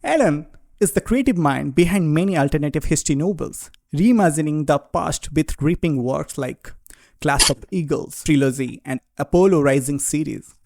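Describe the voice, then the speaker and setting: Polite, formal podcast host, dry sound. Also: male voice, on the high side, normal speed, creaky, darkish tone, no room sound. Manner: formal, polite, addresses guest as sir. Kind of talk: scripted intro then interview questions.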